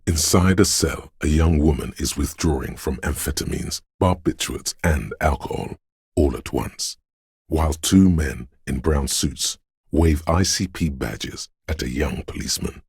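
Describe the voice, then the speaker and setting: A man voicing a brooding, otherworldly inner voice that senses a rainy city, narrating a game in solemn, measured, poetic lines with a husky, gravelly voice. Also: extremely low pitch, darkish tone, no room sound, studio quality, exaggerated voice. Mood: somber, wistful. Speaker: video game voice actor. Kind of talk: slow, poetic second-person game narration.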